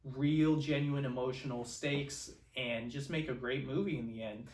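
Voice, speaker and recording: Male, 20s, mid-pitched. Enthusiastic, earnest film podcast co-host, conversational, heard from a little distance in an echoey room. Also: steady tone, normal speed. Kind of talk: casual film discussion. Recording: roomy echo, distant from microphone.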